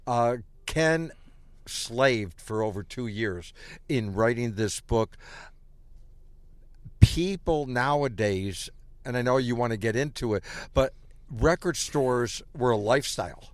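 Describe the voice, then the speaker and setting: A man's voice: mid-range voice, expressive, slow, no echo. Wry older man with a Chicago accent. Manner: wry, teasing. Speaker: guest, former radio marketer. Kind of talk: casual chat. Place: restaurant.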